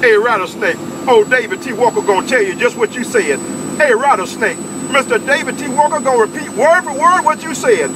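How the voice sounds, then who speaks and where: A man's voice: very high voice, expressive, fairly quick. A man bantering on CB radio in a teasing, repetitive, whooping manner. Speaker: CB radio operator. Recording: loud engine-like radio noise.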